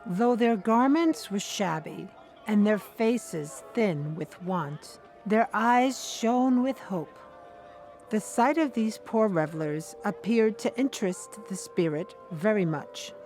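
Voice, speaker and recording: A woman narrating an audio drama as a scripted story, in a deliberate, measured manner. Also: mid-range voice, expressive, a little mellow, soft background music.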